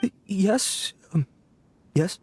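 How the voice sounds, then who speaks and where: Nervous, contrite thief, very animated. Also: female voice, medium pitch, drawn-out words, breathy edge, exaggerated voice. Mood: pleading, nervous. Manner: hesitant, stammering, pleading. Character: nervous, contrite petty thief pleading for leniency. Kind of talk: dramatic video game voice acting.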